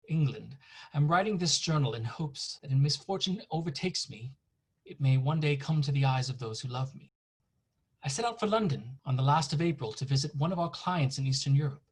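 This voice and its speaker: A man's voice: mid-range voice, slightly rough, close to an everyday voice. An actor playing an earnest, anxious young lawyer's clerk narrating his journal in a radio horror play. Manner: earnest, dramatic, apprehensive.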